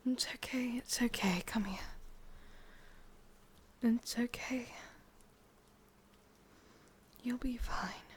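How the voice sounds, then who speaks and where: A woman with an English accent playing a sadistic, possessive witch in a hushed, breathy ASMR roleplay, slow and coaxing. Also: on the high side, fairly even intonation, heavily acted. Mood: tender, incantatory.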